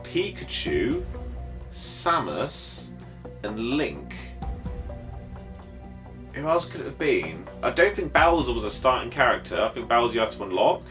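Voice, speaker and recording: Casting: male podcast co-host, very lively intonation, casual sweary banter, music underneath. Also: mid-range voice, a little slow, long pauses. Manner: sweary, blokey, animated. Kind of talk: casual banter, gaming quiz.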